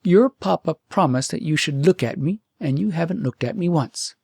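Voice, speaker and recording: Fairytale prince, acted by a male reader: young, self-sacrificing, patient, lovesick, humble, slightly raspy. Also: on the high side, lively intonation, moderate pace, darkish tone, no echo, studio quality, pitched above the performer's own voice, heavily acted. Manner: earnest, humble, faint.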